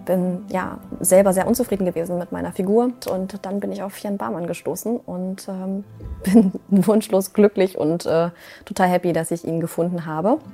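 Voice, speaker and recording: A woman in her early thirties from Göttingen, an earnest nutrition coach who pauses often with fillers. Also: on the low side, fairly even intonation, creaky, warm tone, faint music behind. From Göttingen, Germany. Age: 30s. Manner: earnest, many hesitation fillers. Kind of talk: recruiting video interview.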